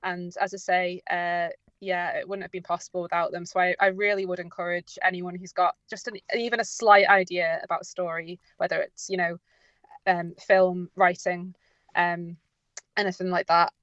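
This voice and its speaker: A woman's voice: lowish voice, flat delivery, normal speed, fairly bright tone, no room sound, highs cut off. A woman giving informal, unscripted radio interview answers as a drama writer, hesitant and often correcting herself as she goes. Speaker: audio drama writer and producer, interview guest.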